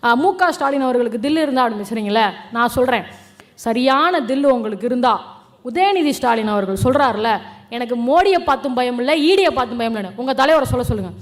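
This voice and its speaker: Young woman, very high voice, Tamil Nadu accent, fiery political speech, colloquial, combative, rhetorical questions. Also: expressive, quick pace. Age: young adult. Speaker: political speaker.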